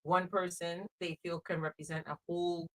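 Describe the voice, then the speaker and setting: A woman's voice: lowish voice, little pitch movement, normal speed, low-quality recording. A middle-aged woman in casual conversation as a co-host, speaking earnestly and repeating phrases for emphasis. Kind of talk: casual chat.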